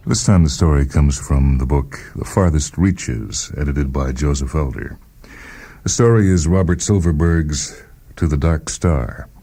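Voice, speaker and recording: A man hosting and reading short fiction in a measured, deliberate, dramatic narration style. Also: extremely low pitch, mellow, slight background noise. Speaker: host and reader of a short story programme. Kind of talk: dramatic scripted story narration. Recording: music playing behind the voice. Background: music.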